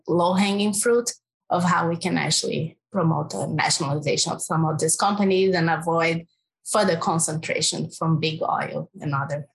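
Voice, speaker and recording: A woman's voice: deep voice, vocal fry, low-quality recording. A woman with a Brazilian accent, a climate policy researcher answering interview questions in an earnest way, thinking aloud with fillers and restarts. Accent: Brazilian Portuguese.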